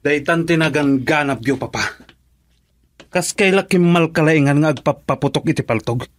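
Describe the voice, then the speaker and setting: A man's voice: fairly high pitch, moderate pace, natural voice. A man playing an exasperated, reproachful young husband in a Filipino radio drama, speaking with a Filipino accent. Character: exasperated young husband scolding his father-in-law.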